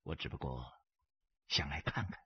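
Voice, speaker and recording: A man voicing a calm, defiant stranger who speaks coolly and mockingly in an audiobook story. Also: a bass voice, very slow, breathy edge, dull audio, voice pushed down, heavily acted. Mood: quiet defiance. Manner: flat, cool, quietly mocking. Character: cold, unkempt male drifter, calmly defiant stranger.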